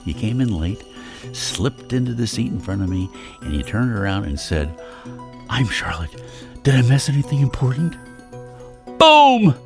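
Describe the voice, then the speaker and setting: An actor playing a wistful older father in a comic audio drama, confessing in a halting, wry way. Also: mid-range voice, wildly swooping pitch, soft background music. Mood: wistful, romantic.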